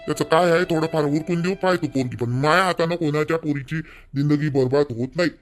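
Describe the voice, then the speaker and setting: A man plays a stern village father from a cartoon, exasperated and scolding. The voice sits high for a man and has a Vidarbha Marathi accent. Questions come again and again, over faint music. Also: fairly quick, lightly acted. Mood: stubborn, exasperated. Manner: scolding, repeats rhetorical questions.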